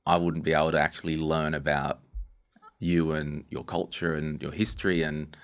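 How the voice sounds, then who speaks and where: A man's voice: extremely low pitch, little pitch movement, moderate pace. A man hosting a podcast interview in a casual, informal way, searching for his words as he goes.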